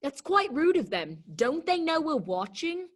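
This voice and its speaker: A woman's voice: high-pitched, sing-song, measured pace. A woman playing a vain, disdainful talking flower in a children's readers' theater performance. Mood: haughty. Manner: disdainful, superior. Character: vain, snooty talking garden flower, female.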